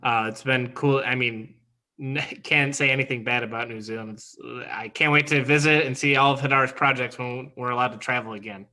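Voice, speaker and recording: A man's voice: medium pitch, steady tone, a little fast, bright, forceful tone. A man co-hosting a podcast, chatting enthusiastically with the guest. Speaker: podcast co-host. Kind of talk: casual podcast conversation.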